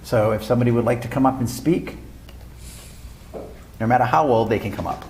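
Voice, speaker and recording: A man's voice: medium pitch, brisk, a little mellow, a little hiss or hum. A man chairing a town council meeting, speaking in an earnest, informal way and often repeating himself. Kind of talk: public meeting remarks.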